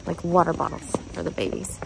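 A woman in her thirties narrating her family's travel vlog in a chatty, lively way, laughing often. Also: fairly low pitch, fairly quick, gravelly, background noise. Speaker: family vlogger, mother.

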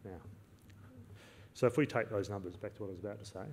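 A middle-aged man with an Australian accent giving an explanatory conference presentation in a measured way, speaking at a lectern microphone. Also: lowish voice, darkish tone. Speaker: farm consultant presenting at a conference. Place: large indoor conference auditorium. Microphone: lectern gooseneck microphone. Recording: reverberant hall, clear background noise.

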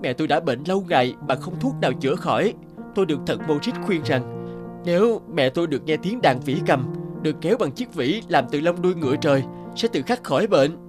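A man's voice: fairly high pitch, expressive, brisk, music in the background, close to an everyday voice. An actor playing a devoted, polite young male violinist in a cartoon. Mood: earnest. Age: young adult. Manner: earnest, polite.

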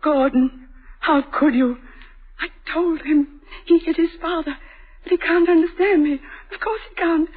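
A woman's voice: very high voice, unhurried, pauses often. An actress playing a tormented middle-aged mother in a vintage radio drama, tearful and theatrical. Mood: anguished. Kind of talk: 1930s radio acting. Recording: tinny old radio broadcast.

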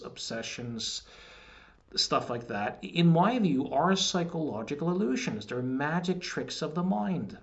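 A man in his forties, a hypnotist, recording a measured promotional video message at his desk in a small wood-panelled room, explaining his ideas and often repeating words as he restarts. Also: fairly high pitch. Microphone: webcam at desk. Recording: dull lo-fi webcam audio.

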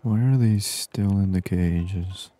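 A man gives hushed ASMR game commentary in short, murmured phrases, thinking aloud at a slow pace. His voice is very dark and breathy, captured close up and dry. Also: low voice, no room sound. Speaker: gaming streamer. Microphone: close binaural microphone.

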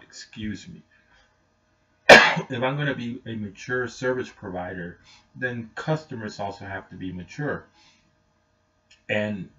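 A man's voice: lowish voice, pushed and bright. A middle-aged man giving an informal, plain-spoken explanation in a matter-of-fact way. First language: Spanish is not his natural language; English speaker. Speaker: taxi driver explaining his service. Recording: muffled webcam mic, distant.

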